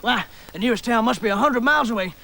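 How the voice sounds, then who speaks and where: An actor playing a folksy, over-dramatic backwoods pioneer in a comedy sketch. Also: male voice, extremely high pitch, fast. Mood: hearty. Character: hearty backwoods pioneer calling to his companion Bessie. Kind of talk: broad comedy sketch acting.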